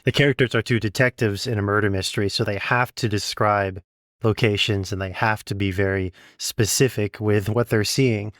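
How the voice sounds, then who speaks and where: A man's voice: lowish voice, fairly even intonation, normal speed, a bit bright, no room sound, studio quality. A man asking questions as an interviewer in a conversational style.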